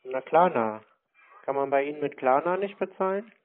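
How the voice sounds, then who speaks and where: A man chatting casually on a call, deadpan and acting naive as he strings along a sales agent. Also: fairly high pitch, moderate pace. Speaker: prank caller baiting scammers. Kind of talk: casual phone chat. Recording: narrow phone-like sound.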